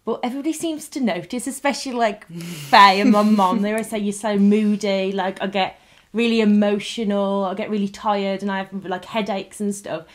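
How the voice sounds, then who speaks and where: Chatty young woman, mid-pitched. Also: steady tone, moderate pace. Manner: chatty, lots of "like". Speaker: interviewee, actor. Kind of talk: documentary interview.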